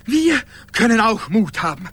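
Radio drama lead: pleading, halting everyman lover, high bright voice, clings stubbornly. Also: big pitch swings, slightly rough, heavily acted. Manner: pleading, halting, repeats himself. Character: desperate, devoted everyman lover clinging to his humanity. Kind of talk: German radio play acting.

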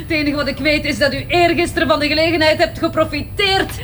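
A scornful woman suspect in a radio play, defiant and mocking under questioning. Her voice is very high. Music plays clearly underneath. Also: steady tone, fast, a bit bright. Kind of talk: radio drama acting.

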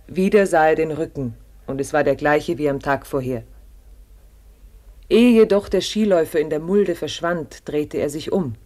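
Higher-pitched male actor as sober storyteller. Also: warm tone, lightly acted. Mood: grave, tense. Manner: sober, measured, short sentences. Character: grave storyteller narrating a survival ordeal. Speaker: narrator. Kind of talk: scripted radio drama narration.

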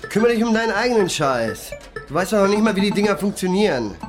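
An actor playing an opinionated, hot-tempered, meat-loving band musician with a blustering, pompous manner in a radio play. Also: male voice, high-pitched, very animated, fast talker, soft background music. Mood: furious. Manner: pompous pronouncements, coarse cursing.

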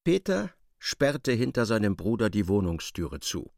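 Animated, creaky male radio play narrator. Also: on the low side, unhurried, no echo, clean studio sound.

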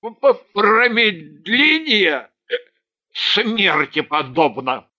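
A woman's voice: mid-range voice, sing-song, pushed and bright, muffled recording, exaggerated voice. A woman voicing a very drunk man in a story, a pedantic, obliging husband who slurs and halts self-importantly. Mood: solemn. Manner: slurred, halting, self-important drawl.

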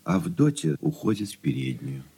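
A man narrates a radio play in a deep, gravelly voice that moves widely in pitch. The old recording has faint noise. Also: big pitch swings, normal speed, mellow. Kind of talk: scripted radio drama stage narration.

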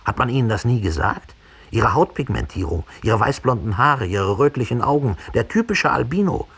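A man's voice: medium pitch, big pitch swings, normal speed, gravelly, fairly bright tone, slightly rough, voice pushed up, exaggerated voice. An actor voicing a smug, tactless schoolmasterly man who lectures pedantically in a dry bleat, in a 1960s radio play. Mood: smug, lecturing.

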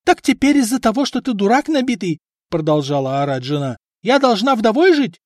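A man voicing a sharp-tongued village wife who yells and scolds her husband in a storybook reading. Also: high-pitched, very animated, normal speed, no echo, clean studio sound, voice pushed up. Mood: shrieking scolding. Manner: shrieking, scolding. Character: shrill scolding village wife, middle-aged.

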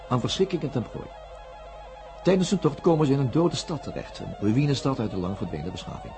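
An actor playing an analytical, sceptical male investigator, narrating a science-fiction radio drama in a deliberate, formal, pedantic manner. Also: mid-range voice. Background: music with plucked guitar.

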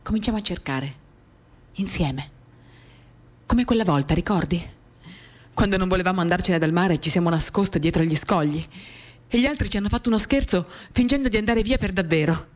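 A woman gives an expressive, lyrical dramatic reading of a first-person literary story, shifting in intensity as she tells it. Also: on the low side, brisk, long pauses. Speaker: reader of a literary work. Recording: acoustic guitar music accompanying the voice. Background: acoustic guitar.

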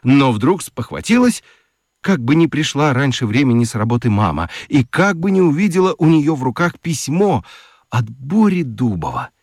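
A man narrating a children's radio story in an expressive, theatrical, confiding way with playful gravity and dramatic pauses. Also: mid-range voice, no room sound, clean studio sound. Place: studio. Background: music.

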